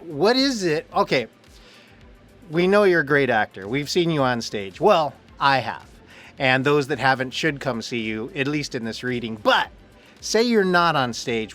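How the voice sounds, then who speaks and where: A man's voice: fairly high pitch, fairly bright tone. A man interviewing someone in a casual, chatty way, effusive and encouraging.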